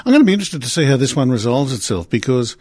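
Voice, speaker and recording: A man's voice: mid-range voice, big pitch swings, racing, darkish tone, dry recording. A man co-hosting a tech radio show, laid-back, with dry punning humor. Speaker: radio co-host. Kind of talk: casual tech radio chat.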